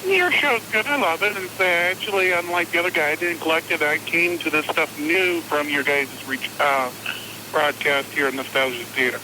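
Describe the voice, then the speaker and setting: A man's voice: fairly high pitch, moderate pace. A man in his twenties chatting casually as a caller to a radio show. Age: 20s. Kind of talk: casual phone-in chat. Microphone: telephone. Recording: noisy phone call on radio.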